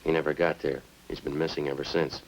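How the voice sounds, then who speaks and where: Very deep, terse police sergeant. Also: male voice, flat delivery, brisk. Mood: matter-of-fact. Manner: clipped, matter-of-fact, understated. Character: terse, methodical male police sergeant interrogating a suspect. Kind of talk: 1950s radio crime drama acting. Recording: noisy old-time radio recording.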